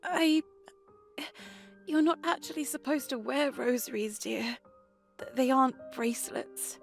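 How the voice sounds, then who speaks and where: Timid, high-voiced young novice nun. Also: female voice, unhurried, creaky, faint music behind. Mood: tender, hesitant. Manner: hesitant, soft, stammering. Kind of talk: visual novel voice acting.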